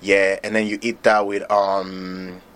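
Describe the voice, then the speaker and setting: A man from Nigeria, founder of a pop-up restaurant, talking in a relaxed, loose way as a guest in an interview. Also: sits low, takes it slowly, smooth. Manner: relaxed, loose, filler-heavy talk with laughs. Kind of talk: casual interview chat.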